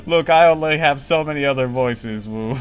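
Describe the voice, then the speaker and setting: A man streams a game from home and talks casually to his viewers. He is blunt and jokey, and faint game music runs behind him. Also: mid-range voice. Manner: blunt, laughing, joking. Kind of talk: casual gameplay commentary.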